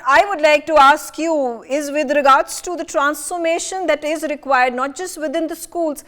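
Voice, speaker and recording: A female news anchor moderates a live TV panel. Her voice is high, and her questions are formal and long-winded.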